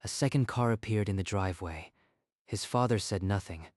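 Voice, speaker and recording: A man narrating a manga dub in an earnest, steady storytelling voice. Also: on the low side, normal speed, no echo, clean studio sound. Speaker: narrator and lead voice actor.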